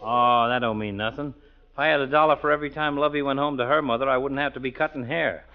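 An actor playing an easygoing small-town barber with a folksy, flippant manner in 1940s radio comedy style. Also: male voice, mid-range voice, steady tone, fast, crowd noise, lightly acted. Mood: wry, joking. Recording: muffled old radio broadcast.

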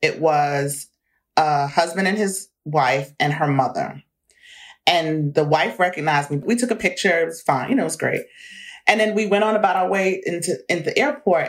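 A woman in her forties speaks in a fairly low voice as a guest in an interview. She talks in a candid, reflective way and laughs easily between earnest points. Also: normal speed. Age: 40s.

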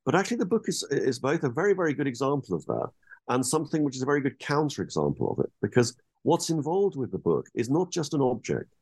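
A man's voice: medium pitch, big pitch swings, quick pace, a little mellow, slightly rough, dry recording. A man being interviewed as an author, speaking thoughtfully and hesitantly with frequent restarts. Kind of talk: academic interview.

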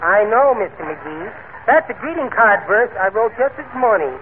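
High-voiced, meek little henpecked husband. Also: male voice, sing-song, moderate pace. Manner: timid, prim, genteel, hesitant. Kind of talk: 1940s radio comedy acting. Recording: noisy, tinny old radio broadcast.

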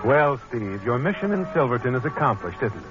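An actor playing an ominous, knowing narrator who addresses the guilty hero in a 1940s radio thriller. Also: male voice, medium pitch, very animated, fairly quick, natural voice. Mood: knowing, taunting. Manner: knowing, dramatic, addresses the character directly. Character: ominous male narrator needling the guilty protagonist. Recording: muffled old radio recording with music.

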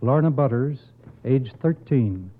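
A man speaks as a committee spokesman in a formal, earnest and measured manner, reading a prepared announcement at a medium pitch. The sound is narrow and phone-like, like an old radio broadcast. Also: expressive.

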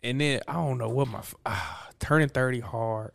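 A man in his late twenties, a stand-up comic, chatting casually and profanely, with loose, animated riffing. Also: mid-range voice, normal speed, creaky. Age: 20s. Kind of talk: casual podcast chat.

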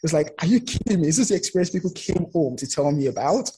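A man's voice: fairly high pitch, quick pace, slight background noise, poor audio. A man speaking as an interview guest, telling personal stories conversationally and acting out the remarks he quotes. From outside the United States. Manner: animated retelling with quoted remarks.

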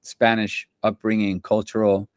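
A man's voice: on the low side, level tone, measured pace, no room sound, clean studio sound. A man who composes for film and television, talking in a loose, rambling way, full of slang and restarts, in a casual interview. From Central America.

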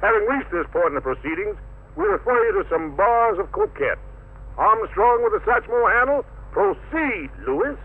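A man's voice: sits high, very animated, normal speed. An American man hosting a 1940s radio show with playful, hip jive patter. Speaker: radio show host and emcee. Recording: tinny, narrow-band vintage radio recording.

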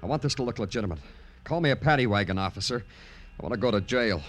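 An actor playing a terse, world-weary police detective, clipped and understated, in old radio noir style. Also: male voice, on the low side, lively intonation, fast talker, close to an everyday voice. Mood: dry, controlled. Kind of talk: 1950s radio noir acting and narration. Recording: vintage radio recording with music behind.

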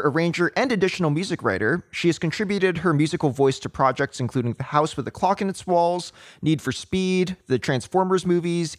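A man's voice: on the high side, dry recording, clean studio sound. A man hosting a podcast, reading a scripted intro and then asking hesitant questions full of "like". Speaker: podcast host.

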